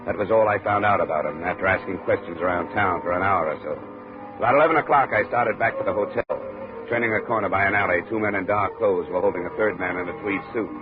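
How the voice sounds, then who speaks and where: An actor playing a hard-boiled insurance investigator in a 1950s radio drama, with clipped, dry, deadpan delivery. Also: male voice, deep voice, quick pace, lightly acted. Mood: terse narration. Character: hard-boiled, terse male insurance investigator narrating his case. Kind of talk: 1950s radio detective drama acting and narration. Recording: muffled vintage radio recording with music.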